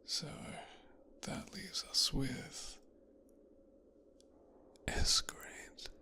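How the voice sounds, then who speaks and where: A man playing a possessive, coldly calculating young mafia boss's son in a hushed, breathy ASMR roleplay, speaking slowly and deliberately. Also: full character voice. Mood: coolly appraising. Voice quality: hushed, breathy, half-whispered. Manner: slow, deliberate, musing with pauses.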